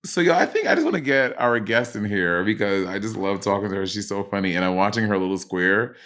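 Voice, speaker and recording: A man's voice: fairly low pitch, quick pace, fairly bright tone. A man co-hosting a podcast over a video call, rambling loosely and swearing in casual chat. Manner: loose, profane, rambling, loses his train of thought. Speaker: podcast co-host.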